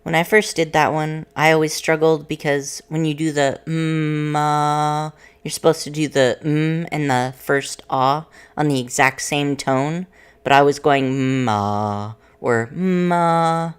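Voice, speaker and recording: Woman, voice student, casual explaining, unhurried, clear smooth tone. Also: low voice. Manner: relaxed, explaining step by step. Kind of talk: casual chat between vocal exercises.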